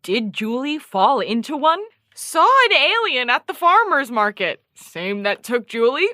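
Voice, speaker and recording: An exasperated radio journalist in a comedic audio drama. She is formal and precise, with a sarcastic edge and a very animated melody. The sound is dry and studio-close. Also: high voice, measured pace, dry recording, exaggerated voice. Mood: mocking. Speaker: podcast host and radio journalist. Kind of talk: mock investigative podcast, comedic audio drama.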